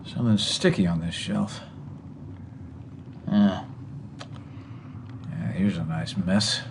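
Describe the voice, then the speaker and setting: An actor playing a self-assured, condescending county attorney in an audio drama, officious and patronizing, with an American accent. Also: male voice, sits low, takes it slowly, creaky, background noise, exaggerated voice. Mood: brisk, businesslike. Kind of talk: audio theatre acting.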